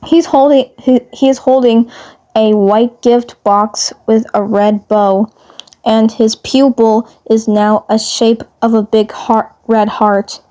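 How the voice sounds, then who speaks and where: A woman with a fairly high voice narrates a written story at an unhurried, very slow pace. Her reading is halting, and she often stumbles over a word and says it again. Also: steady tone, dark tone. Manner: halting, stumbles and rereads words. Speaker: fan fiction narrator. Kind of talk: narrating a scripted story aloud.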